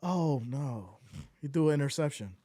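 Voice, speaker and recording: A man doing live fan play-by-play of a football game, excitable and losing his voice, swinging from shouts to deflated mutters. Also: on the high side, normal speed, no echo, clean studio sound.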